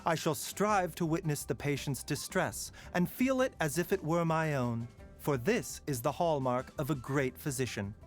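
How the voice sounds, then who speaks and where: Brief: male actor as a caring game doctor, soft and measured, lively pitch, light music underneath. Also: natural voice. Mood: calm. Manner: soft-spoken, measured, sighing. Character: gentle, caring male physician, middle-aged. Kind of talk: game character voice lines. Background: faint music.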